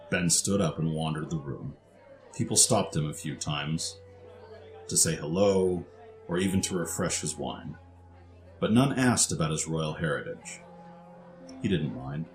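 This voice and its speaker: Male narrator, low register, scripted fiction storytelling over faint music. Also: animated, unhurried, long pauses, a little mellow.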